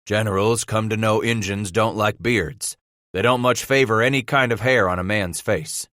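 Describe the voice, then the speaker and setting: A man reading aloud, voicing a steady, plain-spoken male brigade leader with a frontier drawl. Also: fairly low pitch, normal speed, no room sound, studio quality, lightly acted.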